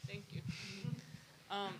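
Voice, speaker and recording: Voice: takes it slowly, noisy background. An audience member thinking aloud in a rambling way, full of "like" and half-finished thoughts.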